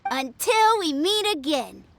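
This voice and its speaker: A woman's voice: sits high, sing-song, takes it slowly, gravelly, a bit bright, no room sound, full character voice. An actress voicing an eager, boastful little boy in a video game, childlike, bouncy and self-important. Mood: pleased, friendly. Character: eager, boastful little boy playing martial arts master. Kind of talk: scripted video game voice acting.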